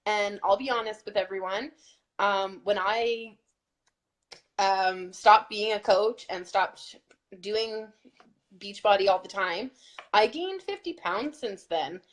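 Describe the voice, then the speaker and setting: A woman's voice: mid-range voice, takes it slowly. Earnest woman giving a pep talk. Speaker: fitness challenge group co-host. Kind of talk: casual motivational chat.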